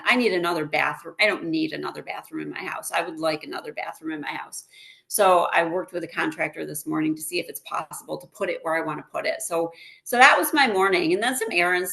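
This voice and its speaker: A woman in her fifties from central New York, a guest chatting casually and warmly with frequent fillers about her busy days. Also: fairly low pitch, gravelly. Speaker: guest, former teacher turned house flipper. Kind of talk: casual interview chat.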